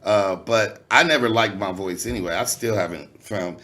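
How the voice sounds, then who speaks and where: A man's voice: normal speed, pushed and bright. A man, a rapper talking candidly as an interview guest, swearing freely and full of fillers. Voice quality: nasal yet low voice. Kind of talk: casual interview conversation.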